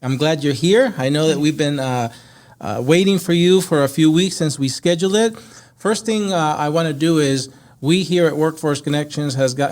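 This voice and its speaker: A man's voice: a little high, a little mellow. A man hosting a podcast, speaking in a conversational interview style, a non-native English speaker. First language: not English. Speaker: podcast host.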